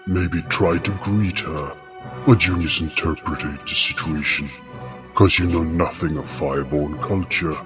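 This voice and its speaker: A very low-voiced, haughty old ice king played by a man, stately and scholarly, quick to scold. He sounds set back from the mic, with music underneath, in a fantasy audio drama. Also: steady tone, distant mic. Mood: condescending. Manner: stately, condescending. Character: haughty, scholarly old frost king, dismissive and short-tempered.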